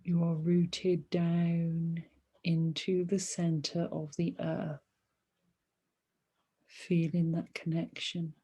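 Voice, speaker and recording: A woman leading a guided meditation as a teacher, speaking softly and soothingly in short phrases with long pauses between them. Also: lowish voice, completely flat delivery, breathy edge. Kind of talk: guided meditation, body scan.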